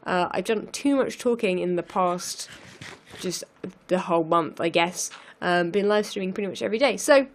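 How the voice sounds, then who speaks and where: A young woman hosting a game let's play, chatting and thinking aloud as she builds, her voice a little croaky from losing it. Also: lowish voice, moderate pace, a little hiss or hum. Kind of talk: casual gameplay commentary.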